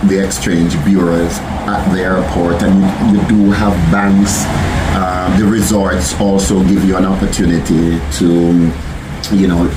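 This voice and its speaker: A man's voice: low-pitched, background noise. A man from Jamaica with a Jamaican accent, answering interview questions in an upbeat, patient way. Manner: upbeat, affirming, explains patiently. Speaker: resort sales manager. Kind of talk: casual Q&A interview. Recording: roomy sound with music behind.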